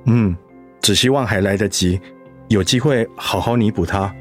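A man voicing a dutiful middle-aged son and schoolteacher in a radio drama, speaking in a steady, subdued way. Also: fairly low pitch, normal speed, faint music behind, close to an everyday voice. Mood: somber.

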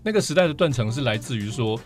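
Fast-talking Taiwanese Mandarin man over music. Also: medium pitch, lively intonation. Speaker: podcast guest, vinyl collector. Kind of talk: enthusiastic explanatory chat. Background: background music.

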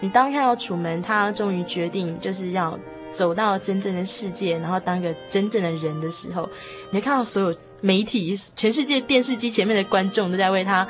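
A woman sharing reflections in a casual panel discussion, speaking in an earnest, expressive way. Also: medium pitch, brisk. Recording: music clearly behind the voice.

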